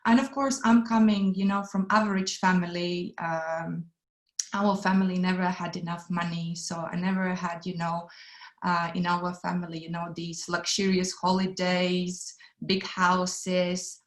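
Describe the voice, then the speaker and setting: A woman's voice: on the low side, level tone, measured pace. Woman from latvia doing a webinar talk, earnest, bit echoey room. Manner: non-native phrasing, earnest. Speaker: presenter. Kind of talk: webinar presentation.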